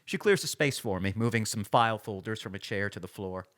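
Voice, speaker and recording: Male reporter, audio drama, first-person documentary narration, measured, matter-of-fact. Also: on the low side, expressive, a bit bright. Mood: measured, curious. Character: measured male reporter recounting his interviews.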